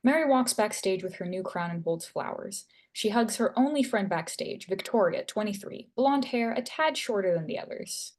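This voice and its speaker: A woman's voice: mid-range voice, expressive, moderate pace, hardly any pauses. A woman reading stage directions as scripted narration.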